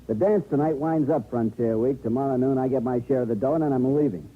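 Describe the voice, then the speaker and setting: A man's voice: medium pitch, fast talker, close to an everyday voice. An American man playing a brash, slangy, money-minded promoter in an old-time radio drama. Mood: breezy. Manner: slangy, breezy. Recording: vintage narrow-band radio, faint noise.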